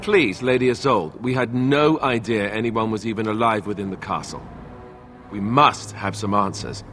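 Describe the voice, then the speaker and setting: An actor playing an earnest young warrior in a fantasy video game, speaking politely but pointedly. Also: male voice, lowish voice, moderate pace, a bit bright, soft background music, close to an everyday voice. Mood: earnest, pleading. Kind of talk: dramatic video game acting.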